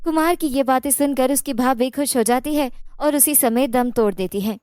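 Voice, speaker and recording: A woman's voice: high voice, normal speed, darkish tone, clean tone, no room sound, clean studio sound. A woman reading scripted narration for a children's moral story.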